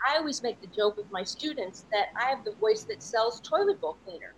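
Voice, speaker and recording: A woman's voice: fairly high pitch, very animated, brisk, slight background noise, low-quality recording. A woman voice-over coach speaking as an interview guest, candid and reflective, often adding "you know" as she talks. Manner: earnest, reflective, many "you know" asides.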